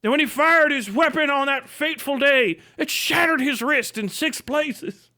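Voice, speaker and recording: A man's voice: very high voice, normal speed, bright, forceful tone. A man livestream host on a casual comedic rant, swearing freely, with mocking, exaggerated storytelling and laughter breaking into his talk. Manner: profane; laughs often while talking.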